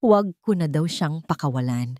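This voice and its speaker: A woman's voice: deep voice, animated, normal speed, a little mellow, no echo, clean studio sound. A Filipino woman voicing a sincere, grateful and resilient young woman in an audiobook drama.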